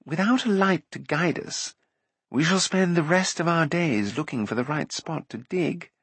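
A man's voice: a little high, expressive, unhurried, a bit bright, slightly rough, no room sound, pitched above the performer's own voice, exaggerated voice. Man doing a jaunty, theatrical, wordy bard. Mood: wry, undaunted. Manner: talkative, theatrical, wry.

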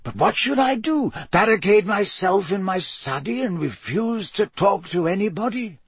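Man putting on a wry elderly headmaster, raised lilting voice, dry ironic delivery, husky edge, dry close sound. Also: high voice, lively intonation, normal speed, dry recording, voice pushed up. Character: elderly male headmaster, wry and gently stern.

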